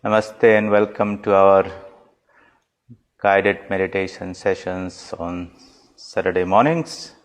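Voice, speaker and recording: A man leading a guided meditation as a spiritual teacher, giving calm, measured instructions in deliberate phrases. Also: sits low.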